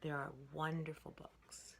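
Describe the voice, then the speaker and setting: A woman in her sixties reading a picture book aloud to children in an expressive, sing-song rhythm. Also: sits low, measured pace, vocal fry, in a whisper. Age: 60s. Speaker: storytime reader.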